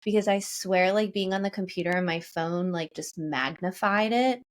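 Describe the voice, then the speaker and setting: A young woman co-hosting a casual podcast, relaxed and rambling, with lots of fillers. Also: fairly low pitch, moderate pace. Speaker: podcast co-host, marketing officer. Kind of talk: casual chat.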